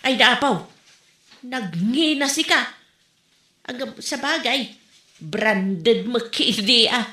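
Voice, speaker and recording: A woman's voice: on the high side, expressive, takes it slowly, long pauses, bright, forceful tone, echoey room, full character voice. A woman playing an Ilocano village mother bargaining for a watch.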